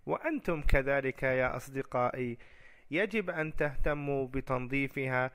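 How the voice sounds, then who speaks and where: A man voicing a cocky, carefree boy in an educational children's cartoon, expressive and emphatic. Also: mid-range voice, normal speed, natural voice. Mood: proud, resolved.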